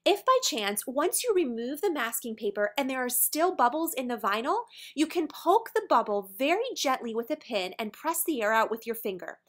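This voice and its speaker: A woman presenting a scripted how-to tutorial, explaining each step in a clear, orderly way. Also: sits high, sing-song, normal speed, hardly any pauses, a bit bright. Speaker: tutorial presenter for a decor company. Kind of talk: scripted instructional narration.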